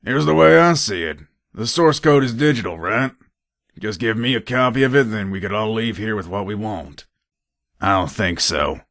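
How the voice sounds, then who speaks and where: A man's voice: on the low side, expressive, moderate pace, fairly bright tone, no room sound, studio quality. An actor playing a shrewd, businesslike gun trader in a video game, talking in casual, hard-bargaining salesman patter. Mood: cool, firm. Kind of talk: video game character dialogue.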